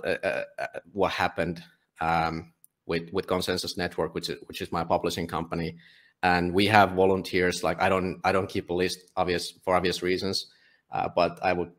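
Casting: male podcast guest from Finland, native Finnish speaker, low-pitched voice, blunt and casual, happy to swear. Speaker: podcast guest, volunteer book publisher. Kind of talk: casual interview talk.

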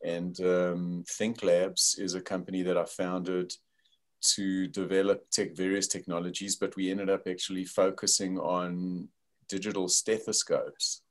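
An older man with a South African accent, a company founder explaining his work over a video call in a thoughtful way, with many fillers. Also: low voice.

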